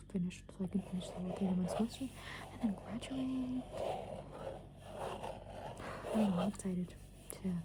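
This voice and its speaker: Woman, 20s, ASMR creator. Hushed whispered rambling, casual and meandering, with clear background noise. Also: medium pitch. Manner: casual, meandering, thinking aloud. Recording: clearly noisy background hiss and ambience.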